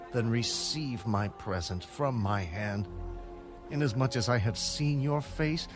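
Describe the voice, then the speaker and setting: An actor playing a humble, pleading man in a dramatized scripture recording, deferential and measured. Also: medium pitch. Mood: earnest pleading. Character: humble, pleading man appeasing his brother. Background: music.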